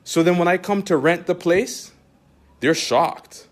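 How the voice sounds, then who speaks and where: Canadian man, teacher type, emphatic monologue, keeps asking "you understand?", voice sits a bit high. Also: unhurried. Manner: emphatic, repetitive, rhetorical questions. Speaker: Islamic teacher. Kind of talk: direct-address monologue to followers.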